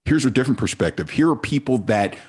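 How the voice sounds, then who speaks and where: A man speaking earnestly as a panelist, turning animated when he quotes his own reactions. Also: medium pitch, quick pace, slightly rough. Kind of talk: casual panel discussion.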